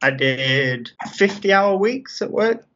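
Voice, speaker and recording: Halting English man on a dull line. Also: sits high, expressive, fairly bright tone. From England. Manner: halting, with frequent ums and restarts. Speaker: interview guest. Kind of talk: casual interview answers. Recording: dull lo-fi sound.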